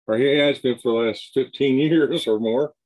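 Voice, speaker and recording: A man's voice: medium pitch, normal speed, a little mellow, low-quality recording. A middle-aged man hosting an interview over a video call from a home room, talking loosely with many restarts. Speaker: show host. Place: home room with shelves of collectibles.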